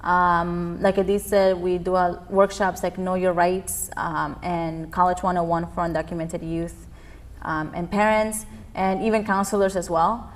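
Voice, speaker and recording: A woman's voice: fairly low pitch, flat delivery, measured pace. A young woman from Peru, a youth immigrant activist, giving earnest interview answers with frequent ums. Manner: earnest, many fillers.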